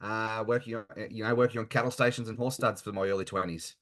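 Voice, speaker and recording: Deep-voiced Australian man, earnest and halting. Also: fairly even intonation, a bit bright. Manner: earnest, halting, with frequent fillers. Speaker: guest. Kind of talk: casual interview chat.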